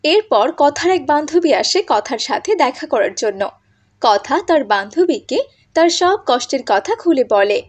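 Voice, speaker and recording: Very high-pitched woman storyteller. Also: lively intonation, moderate pace. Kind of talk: scripted narration of a cartoon moral story.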